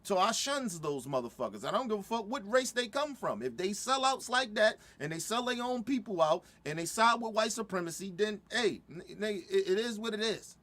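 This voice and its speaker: A man's voice: sits high, lively intonation, moderate pace, few pauses, a bit bright. A middle-aged man giving heated, profane reaction commentary, repeating himself and restarting his sentences as he goes. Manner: heated, repetitive, stumbling restarts.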